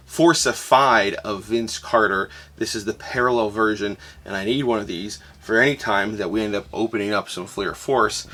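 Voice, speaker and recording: A man's voice: fairly low pitch, fairly bright tone. A man hosting his own collecting channel, talking casually through a recap while his voice is tired and fading. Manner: enthusiastic, chatty with frequent "uh" fillers. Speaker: host of a sports card collecting channel.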